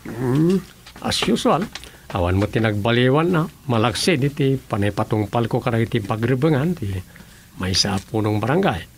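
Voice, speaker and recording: A man's voice: mid-range voice, animated, normal speed, slight background noise, close to an everyday voice. A man playing a world-weary village official in a conversational radio drama style. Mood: matter-of-fact. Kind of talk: radio drama dialogue in Ilocano.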